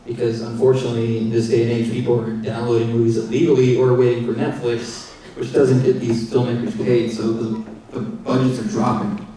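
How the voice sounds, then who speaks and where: A man, the filmmaker, answering audience questions in halting speech full of fillers and restarts, recorded in a theater. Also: mid-range voice, flat delivery, warm tone. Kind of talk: post-screening Q&A answers. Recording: echoey theater from far off.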